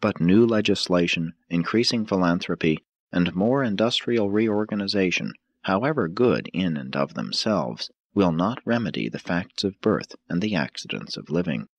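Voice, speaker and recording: A man narrating an audiobook from a script in a formal, measured manner. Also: low-pitched, lively intonation, no room sound, dull audio.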